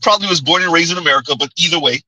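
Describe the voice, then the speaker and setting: A man's voice: a little high, racing, bright, forceful tone, no echo, poor audio. A man hosting a livestream, giving casual commentary, reading chat aloud and laughing often as he answers viewers. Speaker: livestream host.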